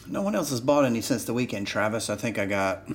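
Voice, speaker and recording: A man's voice: medium pitch, lively intonation, quick pace, a little hiss or hum. A man casually talking through a live trading card box break, reading out cards as he opens packs. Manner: casual, chatty, reads out cards while opening packs. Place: indoor table set up for card streaming.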